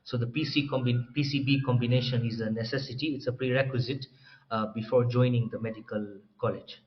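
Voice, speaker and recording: A young man from Tanzania, a doctor training in psychiatry, explaining his career path as an interview guest in a relaxed way. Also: mid-range voice, fairly quick. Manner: explanatory, relaxed, clears throat often. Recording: slightly dull, faint room noise.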